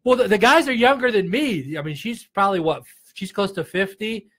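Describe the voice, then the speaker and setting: A man's voice: sits high, a little fast, fairly bright tone. A man of forty-one chatting easily on a podcast, rambling and agreeing often. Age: 40s. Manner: easygoing, rambling, lots of agreeing fillers. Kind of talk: casual chat.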